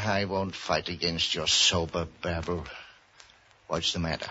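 A man's voice: deep voice, level tone, unhurried, sharp tone, a little hiss or hum, full character voice. A man playing a boozy, philosophizing middle-aged ex-doctor sidekick in a 1940s radio drama, theatrical, wry and grandiloquent. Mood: mournful, wry. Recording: dull old radio recording.

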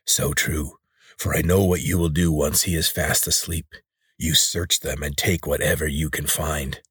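A man voices a blind, menacing warrior leader from a storybook, his rasping voice sitting very low. He speaks quietly with cold threat, and the recording is dry and close. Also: steady tone, unhurried, dry recording, heavily acted.

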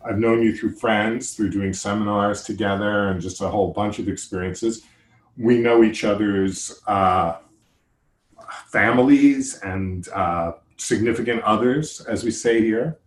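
A man's voice: fairly low pitch, unhurried, low-quality recording. An American man hosting a podcast, talking in a chatty, enthusiastic way and reading his own ads. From United States. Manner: chatty, lots of "uh" fillers, enthusiastic. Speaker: podcast host. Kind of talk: casual interview and ad read.

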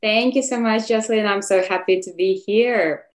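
A woman's voice: medium pitch, steady tone, moderate pace. A woman from Russia with a Russian accent, telling her own story as a guest in an interview. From Moscow, Russia. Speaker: podcast guest, photographer. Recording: roomy, echoey sound.

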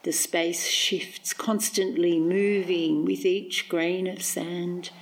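A middle-aged Australian woman, a poet, reading and reflecting on her work in measured, deliberate phrases. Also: lowish voice, level tone.

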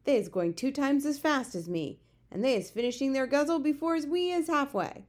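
Gentle male giant for a children's storybook, performed by a woman: resigned, muddled grammar, invented words. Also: sits high, fairly quick, voice pushed up, natural voice. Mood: matter-of-fact, grim. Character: big friendly giant, male, gentle, gloomy, word-mangling. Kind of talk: children's storybook character reading.

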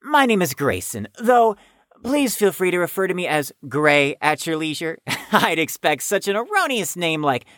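A woman's voice: fairly low pitch, very animated, normal speed, fairly bright tone, dry recording, clean studio sound. A woman voicing a pompous, wordy teenage know-it-all in an audio drama, speaking in an over-formal manner. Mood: pompous, polite. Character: pompous, verbose teenage know-it-all nerd named Gray.

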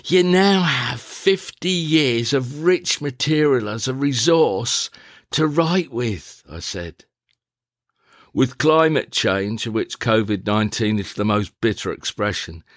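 A man narrating a scripted, reflective personal diary in a measured, deliberate, formal manner. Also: medium pitch, no room sound, clean studio sound. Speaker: diarist, playwright.

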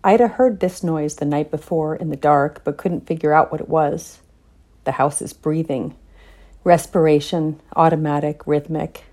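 Female author-narrator for literary fiction, very low pitch, dark mellow tone. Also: steady tone, moderate pace. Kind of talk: literary audiobook-style reading.

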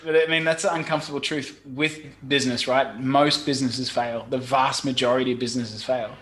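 A middle-aged Australian man, a guest on a podcast call, talking frankly and with lively storytelling, salting it with mild swearing. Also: medium pitch, a little fast. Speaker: guest, website marketing expert. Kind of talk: casual podcast chat. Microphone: remote call.

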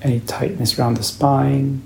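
A man leading a guided meditation as a teacher, calm, unhurried and soothing in his instruction. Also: mid-range voice, vocal fry, reverberant, slight background noise.

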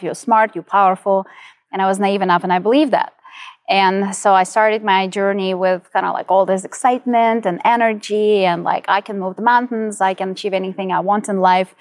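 A woman's voice: mid-range voice, no echo. A middle-aged woman with a Ukrainian accent, telling her personal story as an interview guest. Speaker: podcast guest, entrepreneur.

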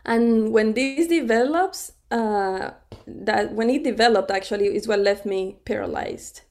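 A woman's voice: a little high, unhurried, a little mellow. A middle-aged woman from Cuba, a podcast guest telling her story in a conversational interview, laughing often and restarting her sentences.

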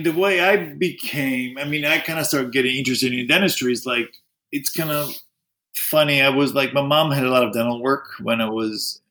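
A middle-aged man who grew up in Greece answers questions about his work as an orthodontist. He talks in a loose, halting way, starts sentences again and fills gaps with "like" and "um". His pitch sits in the usual middle range for a man. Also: fairly bright tone. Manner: halting, many restarts and fillers. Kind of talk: interview answers.

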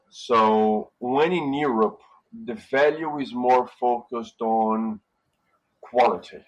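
A man's voice: mid-range voice, highs cut off. Middle-aged guy from brazil chatting as a guest, easygoing storyteller, says "right?" a lot. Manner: relaxed storytelling with frequent fillers and "right?". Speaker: hotel manager, guest. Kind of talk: casual interview conversation.

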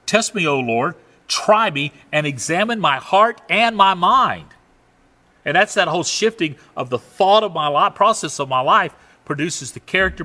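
A middle-aged pastor with a Southern US drawl delivers a sermon in a bright, forward voice. His pitch rises and falls freely as he moves from loud, emphatic lines to hushed, confiding asides. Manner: conversational preaching, swings between loud emphasis and quiet asides.

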